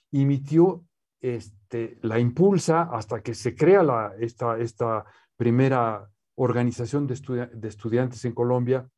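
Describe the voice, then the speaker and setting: Slow, mellow Mexican man. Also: mid-range voice. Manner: slow, many "este" fillers and restarts. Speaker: guest, poet's nephew and scholar. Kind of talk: relaxed conversational interview. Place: living room with bookshelves, seated.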